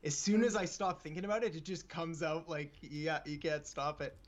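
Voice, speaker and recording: A man from Canada speaks as a guest on a live online show, with a slightly raised pitch. He talks fast and gets excited easily, but stays modest and easygoing. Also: lo-fi sound, slight background noise. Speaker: guest, digital marketer. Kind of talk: casual interview chat.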